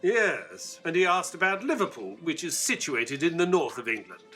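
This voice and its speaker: A man playing an earnest, excitable Victorian gentleman, a detective's companion and narrator, in an audio drama. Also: on the high side, moderate pace, faint music behind. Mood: puzzled.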